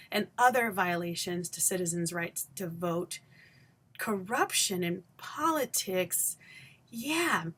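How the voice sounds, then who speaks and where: A woman from Haiti in her forties delivers a scripted essay straight to camera. Her delivery is measured and emphatic, with pointed stresses and deliberate pauses. Her voice sits at an ordinary middle pitch for a woman. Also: fairly bright tone. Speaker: vlogger and education scholar.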